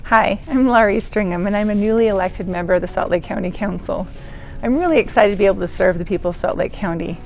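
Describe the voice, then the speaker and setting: An earnest woman on a county council delivers an encouraging civic message. She sounds upbeat and motivational in a mid-pitched voice. Faint music sits beneath her words. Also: fast, poor audio.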